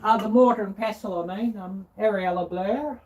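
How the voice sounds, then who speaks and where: A middle-aged woman chatting casually and unscripted as a live-stream cooking host, halting and rambling as she searches for words. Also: medium pitch, a little mellow. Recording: distant mic, clear background noise.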